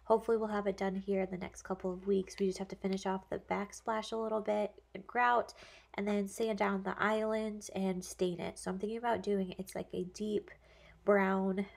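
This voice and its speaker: Woman in her thirties, casual vlogger chat, gravelly fry, mid pitch, chatty with fillers. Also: normal speed. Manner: chatty, relaxed, many "like" and "honestly" fillers. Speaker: home vlogger.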